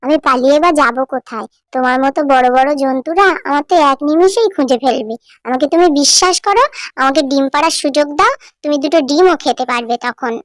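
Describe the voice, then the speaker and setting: A woman's voice: high voice, lively intonation, fast, no room sound. A woman voicing a clever little mother sparrow in a cartoon, coaxing and persuasive. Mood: pleading, coaxing. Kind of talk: cartoon voice acting.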